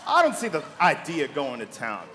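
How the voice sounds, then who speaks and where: An actor playing an arrogant, suspicious, wealthy husband who blusters and sneers in a live radio play. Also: male voice, fairly high pitch, wildly swooping pitch, moderate pace, sharp tone, in a large hall, a little hiss or hum, exaggerated voice. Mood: impatient, brisk.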